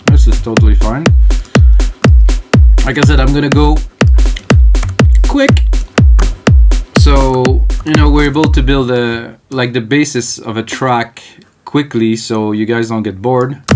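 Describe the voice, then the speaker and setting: A man's voice: mid-range voice, steady tone, takes it slowly, pauses often. Middle-aged French Canadian man, producer type, casual and rambling, heavy electronic music under the voice. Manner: rambling, thinks aloud, casual with frequent fillers. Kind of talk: live music production tutorial. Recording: loud electronic music playing behind. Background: loud techno music and keyboard typing.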